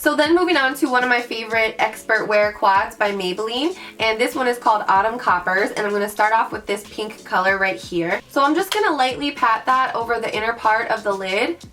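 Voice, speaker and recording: A young woman with a raspy voice from recent illness, chatting bubbly through a makeup tutorial and calling her viewers guys. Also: on the high side, expressive, fairly quick, hardly any pauses. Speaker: beauty vlogger. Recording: mic at a distance, soft background music.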